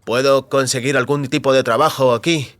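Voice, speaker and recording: A man's voice: mid-range voice, fairly even intonation, normal speed, a bit bright, no echo, close to an everyday voice. A man voicing a polite male newcomer looking for work in an audio story, asking probing questions. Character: polite, probing male job seeker, newly arrived.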